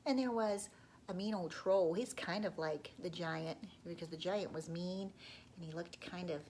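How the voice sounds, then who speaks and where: A woman telling a story to young children in an expressive read-aloud style, often dropping into hushed asides to her listeners. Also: lowish voice, normal speed, a little hiss or hum.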